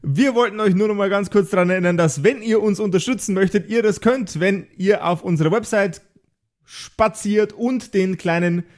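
A man hosting and narrating an improvised tabletop audio drama as game master, measured and descriptive. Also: sits high. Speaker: game master and podcast host.

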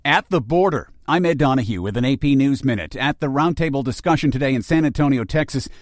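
A man's voice: mid-range voice, expressive, fast talker, no echo, clean studio sound. A man reading a scripted news bulletin as an anchor.

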